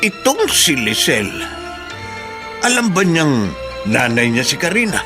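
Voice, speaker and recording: A man's voice: fairly high pitch, sing-song, unhurried, music in the background. A Filipino man playing a plain-spoken, nosy older uncle in a radio drama, folksy and inquisitive. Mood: surprised, curious.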